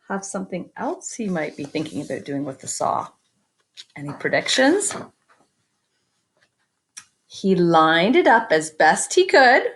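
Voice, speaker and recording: A woman reading a children's picture book aloud expressively, with chatty asides to her young listeners. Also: fairly low pitch. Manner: expressive, pauses to comment and invite predictions. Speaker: read-aloud storyteller.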